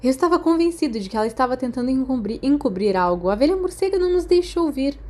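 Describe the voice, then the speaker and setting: Woman playing a theatrical Brazilian schoolboy, pitched up. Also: animated, fast talker, warm tone. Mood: dramatic, excited. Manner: theatrical, exclaiming. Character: dramatic, cheeky, easily offended schoolboy.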